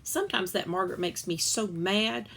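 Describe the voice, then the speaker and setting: A woman reading a children's book aloud as a storyteller, expressive and acting out the dialogue. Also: medium pitch, moderate pace, vocal fry.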